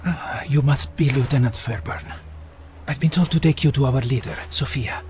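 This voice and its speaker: A man acting an ailing partisan guide in a video game cutscene, speaking gravely and haltingly. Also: medium pitch, darkish tone, a little hiss or hum, natural voice. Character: ailing Italian male partisan, world-weary guide.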